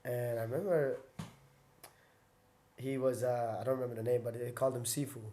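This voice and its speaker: A man's voice: mid-range voice, steady tone, fairly quick, dark tone. A young man, a former fighter and podcast guest, telling his story in a casual, rambling way full of fillers and self-corrections.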